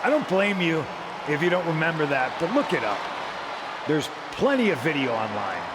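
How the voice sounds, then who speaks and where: An actor with an American accent playing a smug, taunting veteran wrestler delivering a condescending promo in a video game. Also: male voice, a little high, very animated, over a noisy crowd, heavy background noise. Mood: mocking. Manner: mocking, condescending, deliberate showman. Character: smug, taunting veteran male pro wrestler and cult-like leader.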